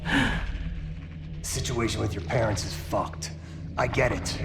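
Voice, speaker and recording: An actor playing a gruff, urgent agent who presses bluntly and insistently in a cinematic video game. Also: male voice, lowish voice, fairly even intonation, takes it slowly, over loud music, exaggerated voice. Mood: urgent, demanding. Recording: reverberant room, loud score behind.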